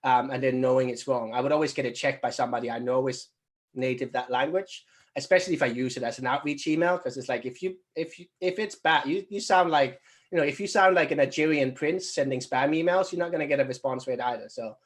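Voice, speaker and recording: A man's voice: medium pitch, fast talker. Rambling man answering training questions. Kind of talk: casual explanatory Q&A talk.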